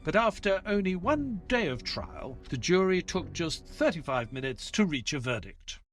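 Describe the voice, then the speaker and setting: Man playing earnest, lively detective's sidekick. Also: a little high, faint music behind. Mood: grave. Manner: measured storytelling, hesitant and flustered in dialogue. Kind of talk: audio play acting with scripted first-person narration.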